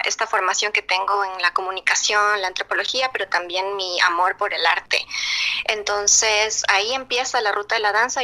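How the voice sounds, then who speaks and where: Woman, from Ecuador, bright, interview guest, hesitant fillers, video call. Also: medium pitch, low-quality recording. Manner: thoughtful, many fillers and restarts. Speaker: dancer and researcher, guest. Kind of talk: remote interview answers.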